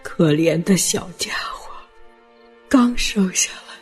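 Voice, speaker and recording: A stern old grandmother from a radio drama, speaking very slowly in a low, dark, hushed voice. There is grief under her strictness, and some lines are almost whispered. Her words ring out with a long hall reverb. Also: expressive, faint music behind. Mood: sorrowful. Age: older. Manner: slow, hushed, sorrowful, half-whispered. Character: elderly noble grandmother, stern yet secretly grieving.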